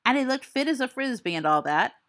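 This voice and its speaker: A woman's voice: a little high, sing-song, a little fast, a bit bright, pitched above the performer's own voice. A woman voicing a teenage boy wizard who tells a ghost story with theatrical flair and dry asides. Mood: storytelling. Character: teen boy wizard spinning a spooky tale.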